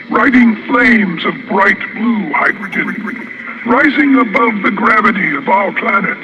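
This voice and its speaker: An actor voicing a solemn, confident Martian invader in a radio drama, measured and declamatory, through an alien translation filter. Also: medium pitch. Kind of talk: scripted radio drama monologue. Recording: muffled, noisy simulated old wire recording.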